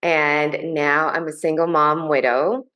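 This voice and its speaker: A woman who grew up in New York City introducing herself as a panel guest in a measured, deliberate way. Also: low voice, fairly even intonation, bright, forceful tone. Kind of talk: casual self-introduction.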